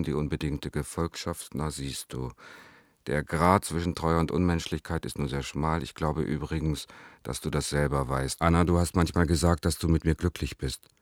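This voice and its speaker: A tender, brooding lover reading his letter for a radio play. The voice is extremely deep and sits in a dry, close space. The delivery is intimate and deliberate, weighted with melancholy. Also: a little mellow, no echo.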